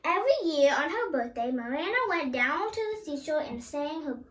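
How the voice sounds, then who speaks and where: A very high-voiced female storyteller reads a children's tale aloud. Her delivery is halting, as she stumbles over words and repeats them. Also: sing-song, heavy background noise.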